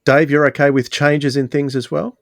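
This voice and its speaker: A man hosting a conversational discussion, putting questions to the panel in measured short phrases. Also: on the high side, little pitch movement, vocal fry, no room sound, studio quality. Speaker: podcast host.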